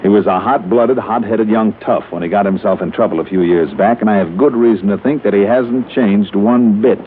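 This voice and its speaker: A male actor as a tough American private eye in a vintage radio drama. His voice is fairly low, with clipped, sardonic delivery. The recording is narrow and thick with noise. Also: normal speed, lightly acted. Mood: sardonic, firm. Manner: clipped, sardonic, hard-boiled. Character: tough, wry private investigator narrating his case. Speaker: radio detective narrator. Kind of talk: old-time radio drama acting.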